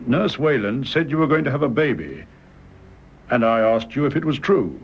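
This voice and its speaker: Radio drama: courteous English officer, formal, hesitant, husky, muffled recording. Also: male voice, mid-range voice, expressive. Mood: awkward, formal. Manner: formal, halting. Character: formal, embarrassed, courteous retired-style army major. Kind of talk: British radio drama acting.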